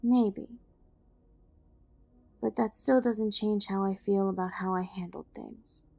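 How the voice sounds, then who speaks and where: A woman's voice: mid-range voice, fairly even intonation, slow pace, creaky, dark tone. A woman acting a loyal, no-nonsense ally of a vigilante in an audio drama. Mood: regretful, frank. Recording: faint music behind the voice.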